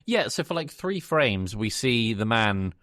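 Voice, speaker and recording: Animated man chatting, dry sound. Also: on the low side, a little slow, dry recording. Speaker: podcast co-host. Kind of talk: casual chat retelling a film.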